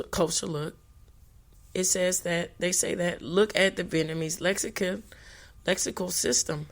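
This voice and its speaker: A woman podcast host, reading notes for a language talk, often stumbling and restarting on hard words. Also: on the low side. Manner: halting, with restarts on hard words and brief apologies.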